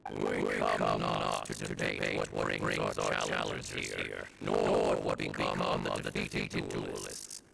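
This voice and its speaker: An actor playing a solemn, commanding officiant of an ancient rite in a video game, speaking in a grave, formal, stately manner. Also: male voice, a bass voice, flat delivery, takes it slowly, vocal fry, exaggerated voice, low-quality recording. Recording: game audio with loud music behind.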